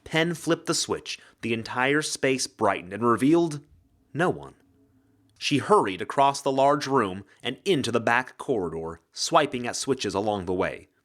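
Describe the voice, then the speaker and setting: A man's voice: medium pitch, animated, unhurried, a bit bright. A man reading mystery fiction as a dramatic scripted narration. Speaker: audiobook reader, actor.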